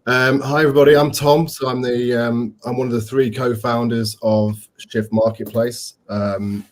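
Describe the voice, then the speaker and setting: A man in his forties with a Leeds accent, a company co-founder speaking on an online panel, relaxed, with frequent ums and restarts. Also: medium pitch, normal speed. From England. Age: 40s.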